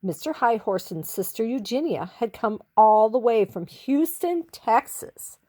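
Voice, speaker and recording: A woman reading a children's story expressively, with theatrical, drawn-out emphasis on key words. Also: a little high, animated, dark tone.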